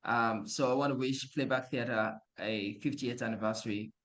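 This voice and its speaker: A man's voice: mid-range voice, steady tone, moderate pace, fairly bright tone. A man giving an earnest, formal anniversary speech over a video call.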